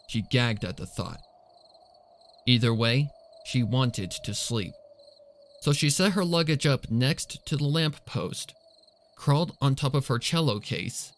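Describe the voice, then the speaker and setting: A man reading a suspenseful story as narrator, in a scripted dramatic storytelling style. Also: mid-range voice. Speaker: fan fiction reader and narrator.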